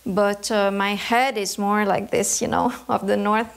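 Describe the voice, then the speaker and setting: A woman from Canada, an embroidery artist, answering interview questions in a thoughtful way, often pausing to search for words. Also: medium pitch, level tone, gravelly, clear, resonant tone.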